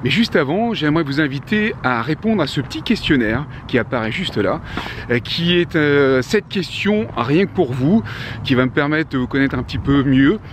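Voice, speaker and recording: A man's voice: fairly high pitch, lively intonation, normal speed, slight background noise. A French man in his fifties, talking casually to camera from a park bench, chatty, with many fillers and restarts. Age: 50s. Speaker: vlogger, life coach. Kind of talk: casual motivational talk to camera.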